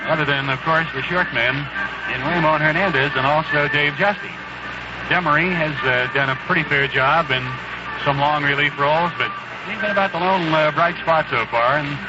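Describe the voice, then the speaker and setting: Higher-voiced American man, noisy radio baseball commentary. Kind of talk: 1970s radio baseball commentary, conversational analysis. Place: ballpark broadcast booth. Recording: noisy muffled 1970s AM radio broadcast.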